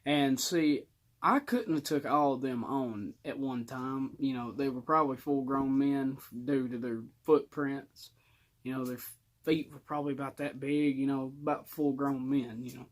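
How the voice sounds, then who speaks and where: A man's voice: medium pitch, steady tone, normal speed. A young man telling a personal story in casual, colloquial speech with some swagger.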